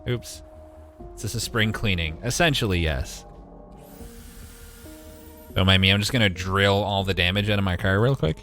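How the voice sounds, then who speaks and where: A man in his twenties with a deep voice gives casual, wry live gaming commentary and talks back to his chat. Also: normal speed, pauses often, a bit bright, faint music behind. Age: 20s. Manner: wry, joking, mild swearing, talks to chat. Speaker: game streamer.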